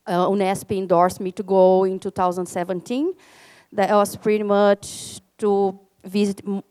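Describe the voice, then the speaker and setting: A woman's voice: mid-range voice, dark tone, clear, resonant tone. A woman in her thirties from Brazil with a Brazilian accent, giving a conference talk in English and taking questions, with many fillers and restarts. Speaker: alumni ambassador speaker. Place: conference room.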